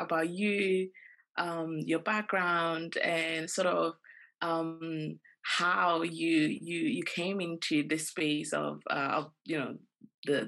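A woman's voice: sits low, fairly bright tone. A woman co-hosting a podcast, reading a scripted intro and then asking a long, hesitant question full of fillers. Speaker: podcast co-host, collections assistant.

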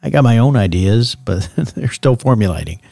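An actor playing a folksy late-night paranormal radio host with easy patter and a mildly skeptical manner. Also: male voice, mid-range voice, lively intonation, moderate pace, gravelly, mellow, dry recording, clean studio sound. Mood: wry.

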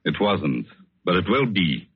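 A man acting a tender, wistful painter in love in a 1940s-style radio drama, speaking softly and hesitantly. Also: deep voice, big pitch swings, a little slow, breathy edge, no room sound, full character voice. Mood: grave, pointed. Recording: dull old radio recording, music behind.

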